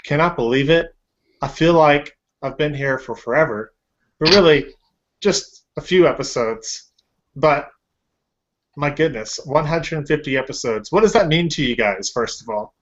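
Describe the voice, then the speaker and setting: A man's voice: on the high side, expressive, normal speed. Upbeat man hosting a lo-fi podcast. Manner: upbeat, chatty, with fillers. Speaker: podcast host. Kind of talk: casual chat. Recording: lo-fi podcast audio.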